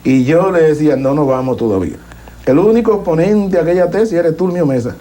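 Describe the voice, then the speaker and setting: A man's voice: fairly high pitch, lively intonation, fast, mellow. A middle-aged man with a Cuban accent telling stories in an interview in a blunt, colloquial, emphatic way. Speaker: interviewee, former prisoner. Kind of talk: casual storytelling in interview.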